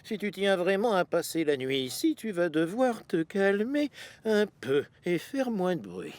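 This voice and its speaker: A man's voice: high voice, very animated, a little slow, darkish tone, dry recording. An actor voicing a proud, grumpy big male engine in a cartoon, speaking in a dry, grumbling way. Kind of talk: French cartoon dub.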